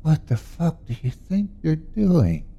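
A man's voice: on the low side, big pitch swings, moderate pace, creaky, dark tone, breathy edge, dull audio, full character voice. A man voicing a fierce, protective mother who curses at her husband and speaks tenderly to her sons. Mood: furious. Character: fierce protective mother, middle-aged woman.

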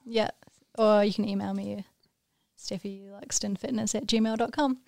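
Young woman, New Zealand accent, relaxed chatty talk, gravelly edges, dry close sound. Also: mid-range voice, dry recording. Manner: laid-back, lots of "like", quick laughs. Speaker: podcast guest, pro snowboarder. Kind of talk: casual chat.

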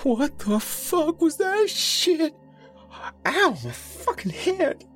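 A man's voice: very high voice, big pitch swings, slow, a bit bright, faint music behind, pitched above the performer's own voice, full character voice. A man voicing a panicked young man wailing and cursing in pain in an audiobook story. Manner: wailing, profane.

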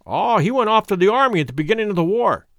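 A man's voice: high-pitched, expressive, fast talker, vocal fry, a bit bright, no room sound, clean studio sound, pitched above the performer's own voice, full character voice. A man reading aloud voices an old village man with a thin, piping voice, recounting news in a chatty, matter-of-fact way.

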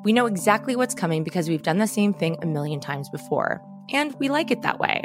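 A woman co-hosting a true crime podcast, with a hoarse voice after karaoke, moving between banter and measured, dramatic scripted narration. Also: fairly low pitch, lively intonation, over music. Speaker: podcast co-host.

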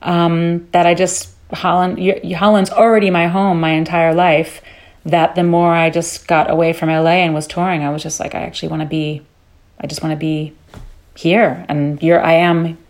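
Woman guest with a lowish voice, chatty, rambles and restarts her thoughts. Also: level tone, moderate pace, darkish tone. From born in London, raised in New York City. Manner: chatty, thinks aloud with restarts. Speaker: guest singer. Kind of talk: casual interview chat.

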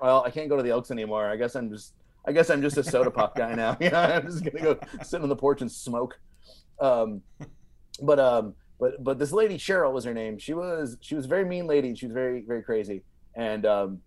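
A man telling comic anecdotes as a podcast guest, casually and emphatically, often repeating himself as he builds the story. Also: mid-range voice.

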